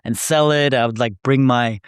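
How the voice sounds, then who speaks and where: A young man from Korea who grew up in Canada, speaking as a guest founder in a relaxed interview. His voice is smooth and clear at a middle pitch. He talks conversationally with plenty of fillers, recorded dry and close. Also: no echo. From South Korea, raised in Canada. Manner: quick, conversational, frequent "like" and laughs. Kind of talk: casual podcast interview.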